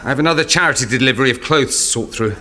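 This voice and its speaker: An actor playing a busy, sociable camp helper in natural audio drama dialogue. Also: male voice, medium pitch, rapid-fire, bright, forceful tone, close to an everyday voice. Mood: harried.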